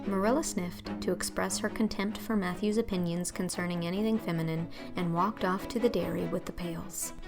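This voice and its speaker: A woman's voice: fairly low pitch, fairly even intonation, moderate pace, hardly any pauses, over music. A woman reading a classic novel aloud as scripted audiobook narration.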